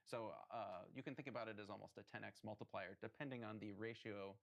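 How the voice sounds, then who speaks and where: Gravelly low-voiced man explaining tech. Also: steady tone, fairly quick. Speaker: hardware developer interviewed. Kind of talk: technical interview answers.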